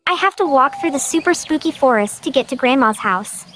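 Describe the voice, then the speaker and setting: A woman voicing an eager, excitable little girl in a children's cartoon story, with exclamatory, earnest delivery. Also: high-pitched, fairly quick, over loud music. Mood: nervous.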